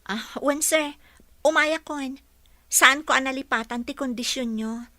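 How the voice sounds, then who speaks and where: A bright, fairly high-voiced young Ilocano housekeeper. She is a dutiful daughter in a radio drama and speaks with an Ilocano accent. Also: a little slow, dry recording, clean studio sound. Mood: deferential.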